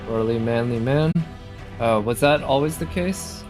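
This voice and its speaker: A man with a mid-pitched voice commentates casually as he livestreams a game. He talks slowly, thinking aloud and posing questions to himself. Prominent game music plays behind his voice. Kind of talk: casual live gameplay commentary. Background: loud video game music.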